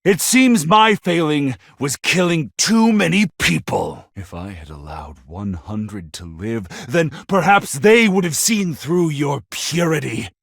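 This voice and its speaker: A deranged anime game villain with a god complex, sneering with open contempt. His voice is high for a man and rough with gravel, swinging wildly between menace and screams. He draws his words out theatrically. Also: animated, slow, hardly any pauses, no echo, studio quality, full character voice. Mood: bitter brooding.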